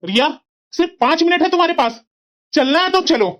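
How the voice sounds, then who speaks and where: A woman's voice: extremely high pitch, animated, a little fast, a bit bright. A woman voicing an earnest young husband and dutiful son in a cartoon, talking in a firm, lecturing way. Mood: stern. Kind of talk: cartoon voice acting. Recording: dull lo-fi recording.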